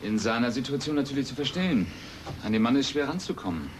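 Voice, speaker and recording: An actor playing a cautious, kindly journalist in a radio play, speaking earnestly and hesitantly, as if to children. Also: male voice, medium pitch, animated, quick pace, natural voice. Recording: noisy radio play tape.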